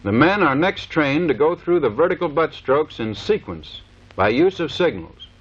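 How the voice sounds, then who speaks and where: A man's voice: fairly high pitch, sing-song, normal speed, breathy edge. An American man giving formal, clipped, scripted instructional narration in the manner of a 1930s military training film. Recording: dull vintage 1930s film soundtrack.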